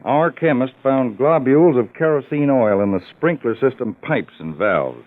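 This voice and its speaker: An actor playing an authoritative, shrewd male law-enforcement chief in an old-time radio crime drama, brisk and emphatic. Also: medium pitch, lively intonation, lightly acted. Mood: briefing. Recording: muffled, narrow old radio recording.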